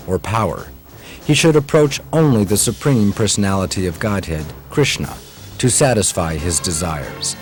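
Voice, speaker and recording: A man narrating a scripted devotional story in a solemn, dramatic way. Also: lowish voice. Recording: music behind the voice.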